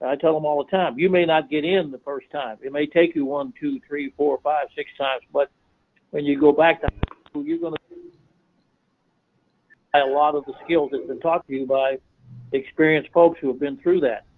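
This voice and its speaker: A man's voice: fairly high pitch, animated, normal speed, darkish tone, rough recording. A man answering in a group interview on a conference call, explaining his points in an instructive, emphatic way. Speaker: officiating coordinator, interview guest.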